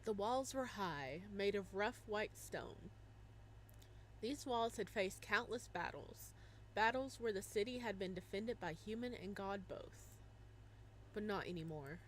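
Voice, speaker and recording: A young woman reading a visual novel aloud, breaking off into laughing, excited reactions between passages. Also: medium pitch, a little slow, a little hiss or hum, poor audio. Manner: animated, laughing exclamations between narration.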